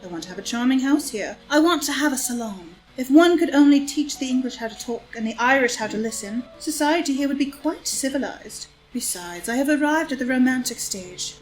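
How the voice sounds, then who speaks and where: A woman's voice: sits high, expressive, a little fast, few pauses, soft background music, poor audio. An actress playing a worldly, scheming society woman with a languid, arch wit, in an audio drama reading of a stage play. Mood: arch, amused. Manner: languid, arch, epigrammatic delivery. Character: scheming, worldly, witty society woman, cynical and coolly seductive.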